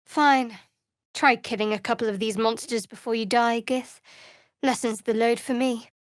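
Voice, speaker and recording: A woman's voice: a little high, steady tone, normal speed, no room sound, lightly acted. An actress voicing a guarded, sardonic young woman cleric in a fantasy video game, with a dry, wry and reserved delivery. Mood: cold, dismissive. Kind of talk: video game character voice acting.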